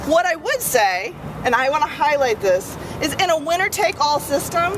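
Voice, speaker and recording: A woman's voice: sits high, big pitch swings, moderate pace. A woman speaking for an advocacy group at a press conference, repeating questions and filling gaps with many fillers and restarts. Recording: loud traffic and crowd outdoors.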